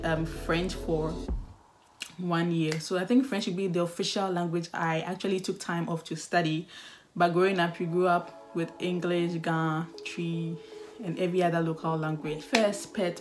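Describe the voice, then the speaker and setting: A woman's voice: on the low side, darkish tone. A young woman with a Ghanaian accent, chatting casually and animatedly as a vlogger, with laughs and sighs. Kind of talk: casual chat.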